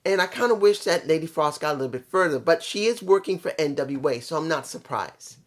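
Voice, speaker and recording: The voice is a mid-pitched reviewer, a little hoarse from allergies. The delivery is casual and opinionated, as in a wrestling vlog. Points get repeated and stressed in a conversational way. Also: lively intonation, brisk. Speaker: vlogger reviewing a wrestling show.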